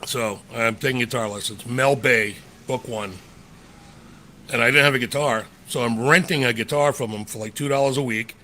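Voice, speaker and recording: A man's voice: mid-range voice, moderate pace, bright, forceful tone, a little hiss or hum. A man co-hosting a podcast, telling a rambling anecdote in a loose, slangy way. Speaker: podcast co-host. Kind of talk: casual storytelling chat.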